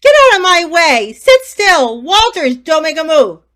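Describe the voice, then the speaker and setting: A woman playing a brisk police inspector, very high. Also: sing-song, a bit bright, heavily acted. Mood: commanding. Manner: brisk, matter-of-fact. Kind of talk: community theater radio play.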